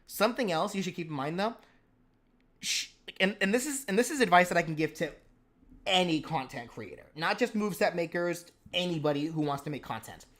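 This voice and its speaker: A man's voice: sits high, animated, fairly quick, a bit bright. Stuttering man hosting a live stream. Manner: stutters, repeats words, restarts often. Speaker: stream host and moveset creator. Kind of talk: casual live stream chat.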